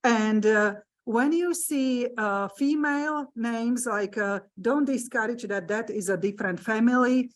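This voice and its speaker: A woman's voice: fairly high pitch, a little slow. A woman with a Slovak accent, a native Slovak speaker, giving a genealogy lecture to a room audience and online viewers, talking hesitantly with many fillers. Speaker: genealogy presenter. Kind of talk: hybrid library lecture. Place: meeting room with live audience.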